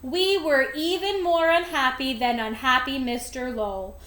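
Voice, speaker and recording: A woman's voice: high voice, a little slow, a bit bright, reverberant. A woman reading a children's storybook aloud expressively, with dramatic, emphatic phrasing. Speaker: storybook reader.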